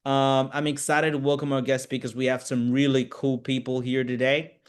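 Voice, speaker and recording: A man's voice: mid-range voice, flat delivery, normal speed, clear, resonant tone. A man from Tanzania, an English teacher hosting a live online class, talking straight to his viewers in an encouraging, direct way. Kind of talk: live webinar talk to viewers.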